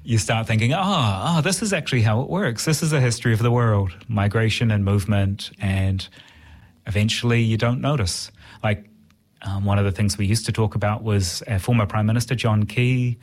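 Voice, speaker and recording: A man's voice: lowish voice, fairly even intonation, normal speed. A man with a New Zealand accent, an interviewed writer talking reflectively in a conversational style.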